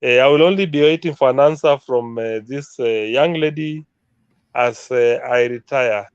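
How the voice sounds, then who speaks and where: A man with a Kenyan accent speaking in a halting, pointed way as a panelist in a political debate. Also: medium pitch, smooth, no room sound, dull audio.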